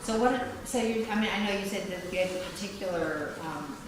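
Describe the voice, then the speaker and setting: A woman's voice: mid-range voice, a little fast. A woman casually asking a question from the audience at a panel. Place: indoor room at a table read event. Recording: very echoey, noisy room.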